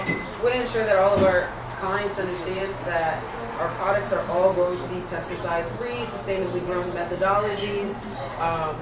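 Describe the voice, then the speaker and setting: A woman from Haiti, a company CEO, giving an earnest, upbeat presentation at a community meeting with many ums. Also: on the low side. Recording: echoey room, distant camera, loud background noise.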